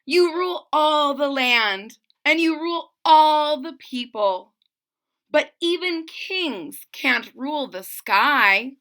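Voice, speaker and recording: A woman's voice: sits high, animated, slow pace, sharp tone, voice pushed up, full character voice. A woman voicing an earnest, worried young page boy in a storybook, respectful and pleading.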